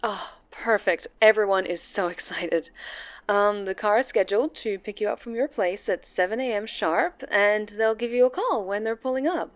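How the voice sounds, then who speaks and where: A woman's voice: mid-range voice, normal speed, close to an everyday voice. A woman playing a cheerful, polished interview producer in an audio roleplay, bubbly and reassuring. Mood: friendly, reassuring.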